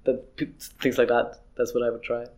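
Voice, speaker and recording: A man's voice: mid-range voice, completely flat delivery, a little fast, darkish tone. A young man, an illustrator, chatting in an interview, rambling with frequent restarts. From Austria. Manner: rambling, restarts often, laughs easily. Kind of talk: casual interview chat.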